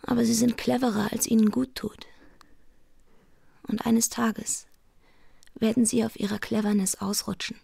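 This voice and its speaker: An actress playing a private detective's shrewd, teasing secretary in a radio crime play, knowing and frank. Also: female voice, on the high side, level tone, a little slow, gravelly, long pauses, dark tone, husky, no room sound, heavily acted. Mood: reproachful.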